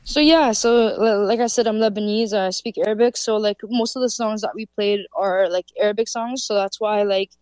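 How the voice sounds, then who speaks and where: A woman's voice: medium pitch, normal speed, few pauses, a little mellow, no echo. A woman from Canada, a musician answering interview questions in a loose, casual way full of fillers. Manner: loose, filler-heavy, with frequent "like". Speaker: musician guest.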